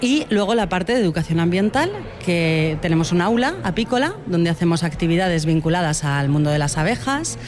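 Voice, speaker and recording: A woman's voice: fairly low pitch, expressive, a little fast, darkish tone. Earnest woman beekeeper interviewee. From Spain (Huesca, Aragón). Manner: thoughtful, earnest, frequent tag questions. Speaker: beekeeper and environmental educator, interviewee. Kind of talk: radio interview answers.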